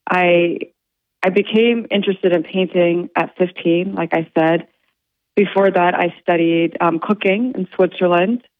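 A woman being interviewed as an artist on the radio, talking in a halting way with many fillers and restarts. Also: on the low side, no room sound. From United States. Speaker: guest artist. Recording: dry, phone-like line.

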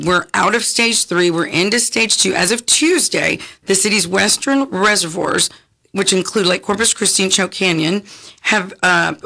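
A woman with a raspy, hoarse voice, a guest chatting casually on a radio talk show, clearing her throat often and restarting her sentences. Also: mid-range voice, pushed and bright. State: hoarse from talking a lot. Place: radio studio.

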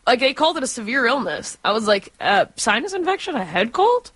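A woman's voice: a little high, very animated, fairly quick, fairly bright tone, slightly rough, dry recording. Informal female radio co-host, dry sound. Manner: informal, frequent use of "like". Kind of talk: casual radio chat.

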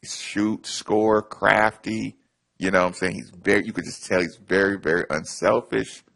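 A man co-hosting a casual sports talk show, telling animated stories with hushed asides. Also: on the low side, moderate pace, gravelly.

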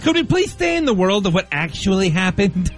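High, lively man with cutting rebuttals. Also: a little fast. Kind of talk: reading a scripted comedy debate.

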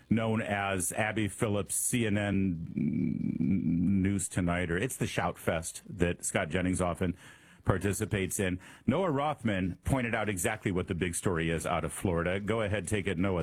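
A man's voice: sits low, a little slow, breathy edge. A middle-aged man from the American Midwest with a Midwestern accent, hosting a political talk show with a sarcastic, mocking manner and frequent repeated words. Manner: sarcastic, mocking exaggerated pronunciations, repeats words when starting. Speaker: radio and podcast host.

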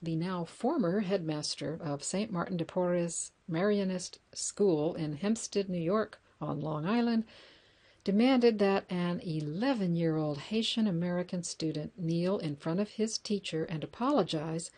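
A woman with a slightly low voice reads news stories and book passages aloud. Her delivery is steady and deliberate, and she corrects her own small slips as she goes. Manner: measured reading with small self-corrections. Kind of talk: reading excerpts and news articles aloud.

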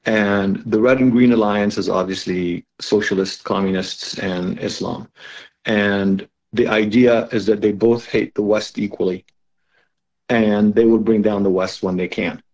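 Gravelly low-voiced man in his sixties. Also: fairly even intonation, measured pace, darkish tone. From Lebanon. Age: 60s. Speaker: interview guest, author. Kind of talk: conversational radio interview. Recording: dull lo-fi remote connection.

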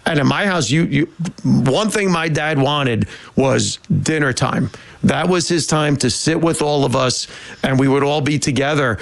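Male host, higher voice, lively storyteller, casual panel talk. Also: unhurried, gravelly. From United States. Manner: animated storyteller, jokey asides.

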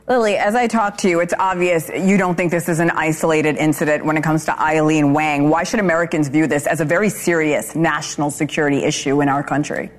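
Low-voiced woman news anchor. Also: steady tone, a little fast, few pauses. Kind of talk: news anchor interview and teasers.

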